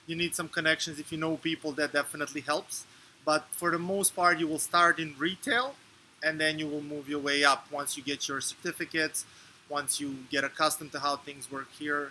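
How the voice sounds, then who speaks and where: A man from Serbia in his thirties, answering interview questions in a measured, explanatory way with fillers and restarts. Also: a little high, bright, forceful tone, a little hiss or hum, low-quality recording. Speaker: interview guest.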